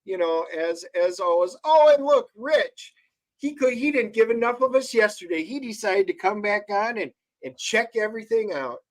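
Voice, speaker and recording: Co-host: an upbeat man with a very high voice who speaks right to the audience. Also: expressive, normal speed. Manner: upbeat, addresses viewers directly. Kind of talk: casual livestream chat.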